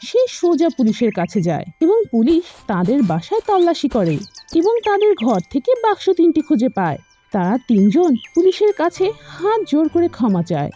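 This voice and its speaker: A woman's voice: high-pitched, wildly swooping pitch, moderate pace, warm tone, soft background music. A woman reading scripted narration for children's cartoon fables, as a storyteller.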